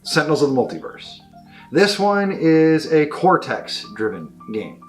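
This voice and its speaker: A man's voice: on the high side, very animated, unhurried, faint music behind. A middle-aged man giving a casual, enthusiastic and discursive review of new tabletop games as a store presenter. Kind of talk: casual product review talk to camera.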